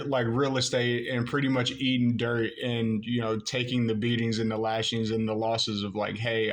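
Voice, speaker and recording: A man hosting an interview, asking questions casually and enthusiastically with many fillers. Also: mid-range voice. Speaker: podcast host, interviewer.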